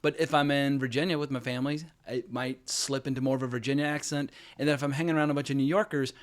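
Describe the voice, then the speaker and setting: Fast-talking man with mild Southern accent. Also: medium pitch, steady tone. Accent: North Carolina Southern, mild. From North Carolina. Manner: talkative, swears casually. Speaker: podcast host. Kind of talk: casual chat.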